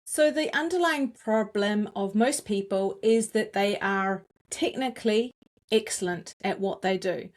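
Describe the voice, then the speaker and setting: A woman from New Zealand with a New Zealand accent, a communications coach answering interview questions in a deliberate, clearly articulated cadence. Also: mid-range voice.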